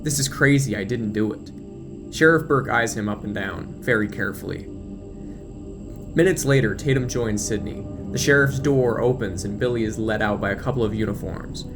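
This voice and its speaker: A man's voice: on the low side, normal speed, music in the background. A man narrating an audio drama in scripted present-tense prose, reading scene descriptions and action.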